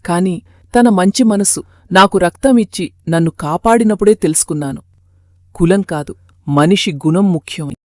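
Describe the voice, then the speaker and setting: A woman's voice: fairly low pitch, moderate pace, no room sound, close to an everyday voice. A woman voicing a remorseful mother-in-law in a cartoon family drama.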